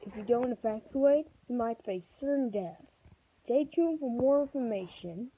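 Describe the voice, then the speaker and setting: A woman reading a homemade mock emergency broadcast announcement in a halting, stumbling delivery. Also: on the high side, lively intonation, dark tone. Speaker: vlogger acting as emergency alert announcer. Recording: dull, muffled home recording with noise.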